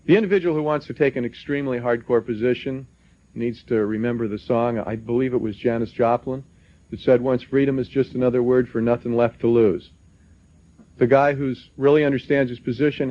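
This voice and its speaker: A man speaking as a seminar panelist, giving plain-spoken, cautionary advice in an emphatic way. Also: medium pitch. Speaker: legal researcher on a seminar panel. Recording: muffled lo-fi broadcast recording.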